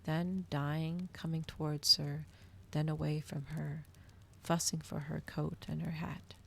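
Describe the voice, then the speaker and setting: A woman giving an ASMR reading of a story in a soft, hushed, close delivery. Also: low-pitched, level tone, slow, vocal fry, a little hiss or hum. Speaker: streamer hosting a live reading.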